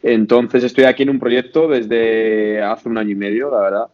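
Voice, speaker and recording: A man's voice: mid-range voice, little pitch movement, moderate pace, dull audio. A man in his late twenties from Madrid with a Spain Spanish accent, a podcast guest talking casually with many fillers and restarts. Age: 20s. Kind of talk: casual interview chat.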